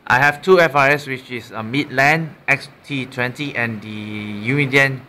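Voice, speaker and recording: Halting Malaysian man, bright voice. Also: mid-range voice. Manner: halting, often repeating and correcting himself. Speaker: amateur product reviewer. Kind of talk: casual unscripted gadget demonstration. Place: small room indoors at a desk. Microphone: built-in mic of a handheld camera.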